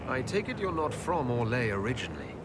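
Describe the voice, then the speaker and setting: An actor playing a composed, authoritative male elven leader in a video game, speaking in brief, brisk questions and decisions. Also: mid-range voice, sing-song, close to an everyday voice. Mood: composed, inquiring. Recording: game audio over noisy outdoor ambience.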